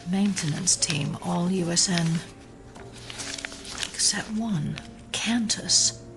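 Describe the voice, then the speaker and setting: A woman's voice: on the low side, slow. American fbi woman held captive, determined but wary, takes her time, music under it. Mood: wary, murmuring. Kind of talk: audio drama acting.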